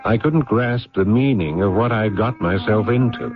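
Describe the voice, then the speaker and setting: An actor playing a weary, responsible brother who narrates and talks earnestly in a 1970s radio drama. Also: male voice, fairly low pitch, normal speed, music in the background, lightly acted. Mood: weary, reflective. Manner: earnest, hesitant when pressed, dramatic. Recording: muffled vintage radio recording.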